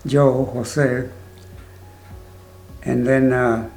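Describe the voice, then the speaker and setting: Grandfather from Mexico, 80s, unhurried and gravelly, with faint music behind. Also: medium pitch, little pitch movement, a little mellow. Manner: short plain answers with pauses. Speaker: interviewee, grandfather. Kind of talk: casual family interview.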